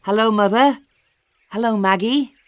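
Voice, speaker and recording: A woman voicing a blunt, quick-tempered schoolboy. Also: fairly high pitch, no room sound, pitched above the performer's own voice, close to an everyday voice. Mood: friendly. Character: proud eleven-year-old schoolboy, quick-tempered. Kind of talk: storybook reading.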